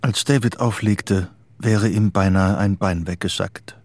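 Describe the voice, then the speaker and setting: Low, creaky male narrator, dry sound. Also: steady tone, unhurried, darkish tone, no echo. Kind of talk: scripted literary narration for radio drama.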